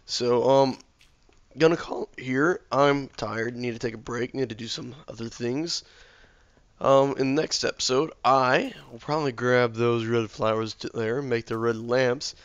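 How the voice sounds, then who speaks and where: A man with a sore throat and a slightly hoarse voice, casually commentating his game while he builds, mumbling and thinking aloud to himself. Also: medium pitch, steady tone, unhurried, no room sound, highs cut off. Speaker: let's play gamer.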